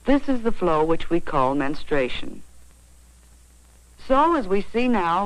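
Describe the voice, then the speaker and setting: An American woman narrating an educational film in a measured, explanatory, carefully phrased way, on a vintage 1940s soundtrack. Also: deep voice, dry recording, poor audio. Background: faint music.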